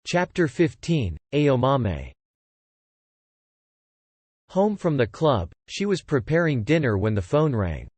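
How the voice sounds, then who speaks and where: A man narrates a literary audiobook drama at a middle pitch, telling the story as scripted third-person narration. The recording is dry and close, with very little room sound. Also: big pitch swings, slow pace, pauses often, darkish tone, dry recording.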